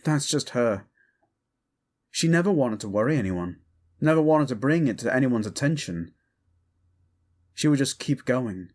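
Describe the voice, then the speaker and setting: An actor playing a guilt-ridden, vengeful prince in an audio drama, earnest and subdued. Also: male voice, mid-range voice, expressive, unhurried, pauses often, darkish tone. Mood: brooding, guilty. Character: brooding wounded prince, guilt-ridden and vengeful, protective of sister.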